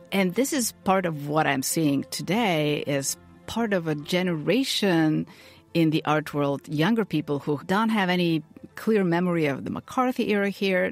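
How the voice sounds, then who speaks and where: A woman from Bulgaria, interviewed as an advocate against censorship, speaking in a measured and earnest way. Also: on the low side. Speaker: free speech advocate, interviewee. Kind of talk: thoughtful interview answers.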